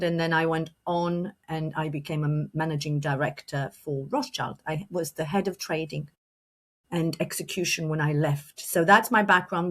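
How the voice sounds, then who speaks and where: Romanian-born woman coach, lowish voice. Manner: fluent with frequent restarts and fillers. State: slight cough. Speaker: leadership coach and guest. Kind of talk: podcast interview answers.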